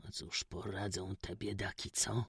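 A man voicing a gentle, sorrowful village wanderer who speaks up for the poor in subdued, plaintive rustic speech. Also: fairly low pitch, fairly even intonation, moderate pace, dry recording, studio quality, natural voice. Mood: sorrowful, pleading.

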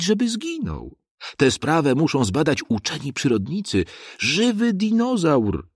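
An earnest nature teacher in a storybook, played by a man. He speaks up high and loud, with a lively, rising and falling line. The voice is husky and dry. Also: no echo, heavily acted. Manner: earnest, explaining loudly. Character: earnest male nature teacher, lecturing a crowd.

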